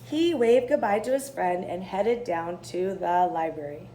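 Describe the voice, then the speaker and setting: A woman reading a children's story aloud in an expressive style. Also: lowish voice, measured pace, slight background noise, poor audio. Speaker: storybook reader.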